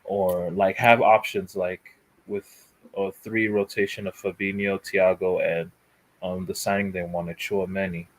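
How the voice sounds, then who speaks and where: Male pundit, low pitch, halting casual delivery with fillers. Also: level tone, rough recording. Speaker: panel guest. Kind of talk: casual football chat.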